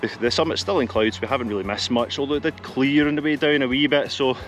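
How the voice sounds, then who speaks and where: A man's voice: medium pitch, steady tone, fast talker, fairly bright tone, faint music behind. A middle-aged Scottish man with an easygoing, rambling way of talking and dry humour, co-hosting a hiking vlog outdoors in the hills. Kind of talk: casual outdoor chat. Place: mountainside outdoors.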